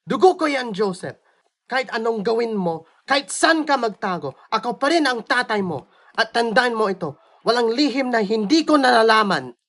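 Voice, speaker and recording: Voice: mid-range voice, big pitch swings, normal speed, fairly bright tone. An actor playing a powerful, cold older father in a radio drama, taunting and gloating in a controlled way.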